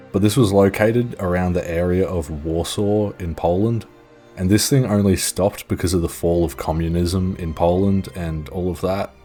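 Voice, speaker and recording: A man's voice: low-pitched, a little mellow. A young man narrating a documentary-style video in a casual, informal, sweary and self-deprecating way. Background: background music.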